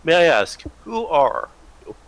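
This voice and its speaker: A man voicing a calm old bearded male stranger who asks slow, philosophical questions in a tabletop game. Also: a little high, voice pushed up, rough recording. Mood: probing.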